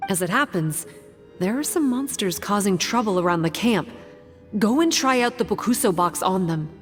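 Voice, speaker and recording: A woman playing a composed, secretive guide in a video game, speaking in a measured, expository way. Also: medium pitch, animated, natural voice. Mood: composed, businesslike. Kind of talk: scripted video game voice acting. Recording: music playing behind the voice. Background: game music.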